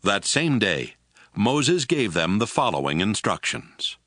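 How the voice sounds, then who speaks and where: A man's voice: fairly low pitch, very animated, takes it slowly, fairly bright tone, no echo, clean studio sound. A man narrating scripture from a script.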